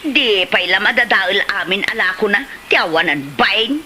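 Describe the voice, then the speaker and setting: A woman's voice: medium pitch, animated, moderate pace, sharp tone, a little hiss or hum. A woman with an Ilocano accent playing a touchy, haggling village housewife who complains indignantly in a comedy drama.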